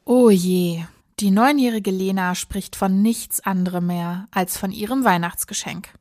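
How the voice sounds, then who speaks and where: A female storyteller voiced by a woman with an average-pitched voice. She narrates scripted scenes for a children's radio play. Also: a little slow, darkish tone, close to an everyday voice. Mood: narrating.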